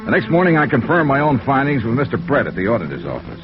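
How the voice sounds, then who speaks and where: A man's voice: mid-range voice, huge pitch swings, fast talker. A man playing a wry, unruffled American insurance investigator in 1950s radio noir, dry and matter-of-fact. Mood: wry narration. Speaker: lead actor in a radio detective series. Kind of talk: 1950s radio noir acting and first-person narration. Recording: muffled, hissy old radio recording.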